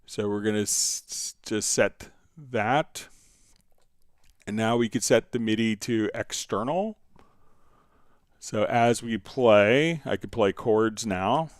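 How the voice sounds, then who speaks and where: Low, smooth-voiced man giving a software tutorial. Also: slow pace, no room sound, studio quality. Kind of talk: casual screen-share software tutorial, live stream.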